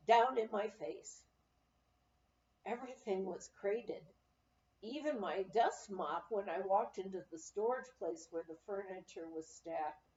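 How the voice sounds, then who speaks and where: A woman narrating a memoir aloud in an expressive, storytelling manner. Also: mid-range voice, recorded from a distance. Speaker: audiobook reader. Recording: muffled, roomy, off-mic amateur recording.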